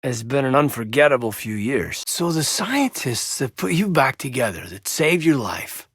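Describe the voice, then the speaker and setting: A man playing an earnest, loyal soldier officer in a space-war video game, with a husky, raspy voice and a sincere, measured manner. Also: mid-range voice, sing-song, fairly bright tone, no room sound, studio quality. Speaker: video game squadmate character. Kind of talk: dramatic video game voice acting.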